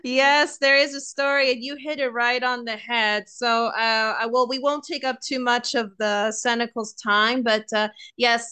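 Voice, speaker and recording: A woman, a nun joining by video call, telling a story casually with many fillers and an animated manner. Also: on the high side, clear, resonant tone. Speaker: guest nun.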